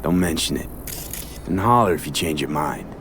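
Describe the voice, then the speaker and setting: An actor playing a tough but loyal and reassuring mercenary in a video game, speaking in clipped phrases. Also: male voice, very deep voice, lively intonation, moderate pace, a bit bright, slight background noise, full character voice. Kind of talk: dramatic video game acting.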